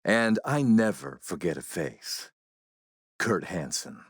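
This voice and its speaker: A man playing a suave video game warlord, coolly polite and mocking, with a measured, sardonic menace. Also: low voice, very animated, breathy edge, no echo, full character voice. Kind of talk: video game villain acting.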